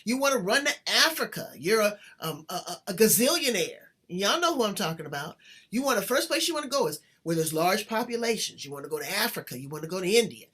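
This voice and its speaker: A woman's voice: medium pitch, sing-song, fast talker, fairly bright tone, slightly rough. A woman hosting an interview, talking in an emphatic, folksy way and stretching her words. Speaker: host. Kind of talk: casual interview chat.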